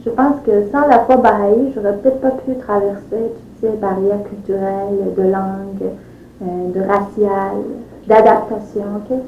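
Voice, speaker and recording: A woman's voice: mid-range voice, fairly even intonation, a little slow, dark tone, slight background noise. A woman in her twenties speaking frankly about her own life in an interview. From Iranian family. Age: 20s. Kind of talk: personal testimony. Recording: roomy echo, distant mic.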